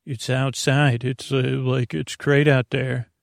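A man hosting a bedtime podcast in a rambling, halting monologue, over-explaining and trailing off with a creaky, gravelly voice. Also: mid-range voice, flat delivery, dry recording, clean studio sound. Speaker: podcast host.

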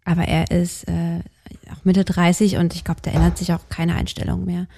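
A woman's voice: fairly low pitch, completely flat delivery, normal speed, creaky, warm tone. A woman in her early thirties talking candidly in a podcast conversation, hesitant, with many fillers and restarts. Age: 30s. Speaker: podcast co-host.

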